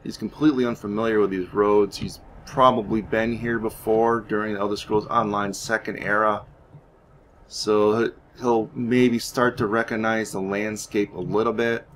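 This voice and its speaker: Low-voiced man rambling over gameplay, noisy. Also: level tone, moderate pace, a bit bright. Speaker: gaming YouTuber narrating his playthrough. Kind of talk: casual, rambling game commentary.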